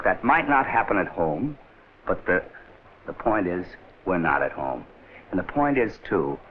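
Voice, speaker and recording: A man acting as a plain-spoken American soldier who guides troops in an earnest, informal way, in a 1940s training film. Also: deep voice. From United States. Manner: folksy, earnest, explanatory. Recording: noisy, muffled 1940s film soundtrack.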